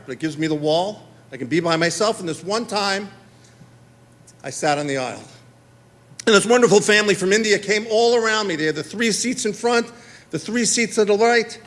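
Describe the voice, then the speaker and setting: Middle-aged New man, stand-up comic, high and very animated delivery. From Queens, New York. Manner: animated, acts out dialogue in quick exchanges. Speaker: stand-up comedian. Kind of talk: stand-up storytelling. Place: theater stage.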